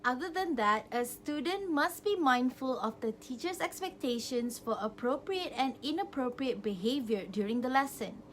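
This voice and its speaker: A woman's voice: fairly high pitch, a bit bright, slight background noise, rough recording. A young adult woman giving an instructional student presentation, explaining things step by step from loosely followed notes. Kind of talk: semi-scripted instructional presentation.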